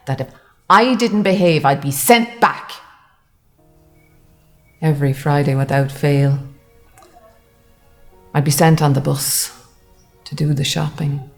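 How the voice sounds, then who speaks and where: An actress playing a wounded older woman recalling a harsh childhood in a halting, sombre testimony. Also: very deep voice, creaky, exaggerated voice. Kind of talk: dramatised first-person testimony monologue.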